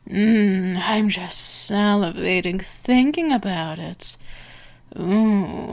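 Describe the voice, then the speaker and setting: A woman playing a dominant, predatory female vampire in an ASMR roleplay, taunting and sultry, often slipping into breathy whispers. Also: lowish voice, full character voice. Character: dominant, teasing, predatory female vampire stalking her prey.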